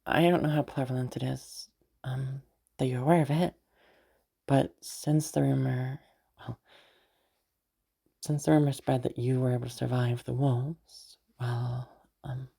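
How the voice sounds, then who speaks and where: A woman playing an affectionate, teasing young noblewoman in a roleplay audio drama, speaking haltingly and intimately to her knight. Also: rumbling low, steady tone, vocal fry, husky, exaggerated voice. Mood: somber, hesitant. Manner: hesitant, halting, with soft chuckles and sighs.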